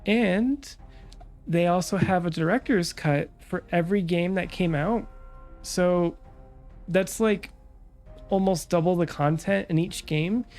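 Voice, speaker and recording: Calm, relaxed young woman streamer, stuffy-nosed. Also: on the low side, slow pace, faint music behind. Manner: calm, relaxed, rambling with many fillers. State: stuffy nose from a cold. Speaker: VTuber streamer. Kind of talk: casual stream chat.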